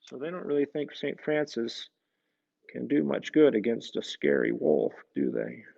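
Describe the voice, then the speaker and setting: A man reads a picture book to children at an unhurried pace, with a gravelly edge to his voice. He pauses for casual, musing asides about the pictures. The sound is a little dull and lo-fi. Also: mid-range voice, very animated, mellow. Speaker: priest reading a children's picture book aloud. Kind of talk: storybook read-aloud with casual asides to children.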